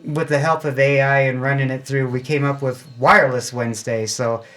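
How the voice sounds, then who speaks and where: Casting: American man, mid-pitched, plain-spoken club member, casual explanatory interview style. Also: steady tone, fairly quick, a bit bright. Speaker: amateur radio club member, interview guest.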